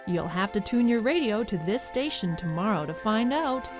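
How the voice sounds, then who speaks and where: A woman narrating a scripted children's story in a measured way with dramatic pauses. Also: medium pitch, lively intonation, over loud music.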